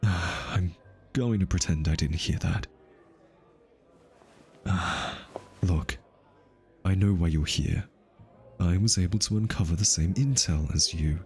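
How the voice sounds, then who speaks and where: A man playing an easily flustered, defensive, lovestruck hitman in an intimate ASMR roleplay, stammering, sighing and slipping into breathy whispers. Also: low-pitched, darkish tone. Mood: serious, exasperated.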